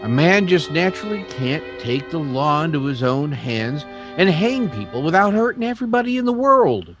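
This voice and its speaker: Grave, indignant frontier townsman played by a male actor, earnest radio-western delivery, highly animated pitch, slightly dull sound. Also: normal speed, over music. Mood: grave, impassioned. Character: grave, indignant Old West townsman and narrator of a lynching. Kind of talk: old-time radio western acting.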